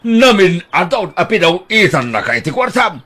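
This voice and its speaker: A man's voice: high-pitched, big pitch swings, normal speed, bright, forceful tone, heavily acted. An actor playing a teasing older husband who gambles, bantering with his wife in an audio drama.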